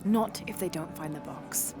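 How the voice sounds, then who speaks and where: A woman's voice: lowish voice, normal speed, over music, lightly acted. An actress playing a determined young woman adventurer, focused and understated. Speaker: explorer.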